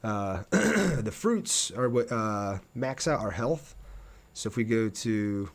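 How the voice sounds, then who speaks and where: A man's voice: on the low side, expressive, slow. Chatty, laid-back male game streamer. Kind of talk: casual livestream chat over gameplay.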